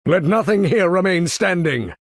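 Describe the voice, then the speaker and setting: A man voicing a proud, imperious older mutant leader for a video game, speaking in a formal, theatrical, condescending way with a gravelly, husky voice. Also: sits high, lively intonation, moderate pace, no room sound, studio quality. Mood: commanding, defiant. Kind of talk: video game character voice lines.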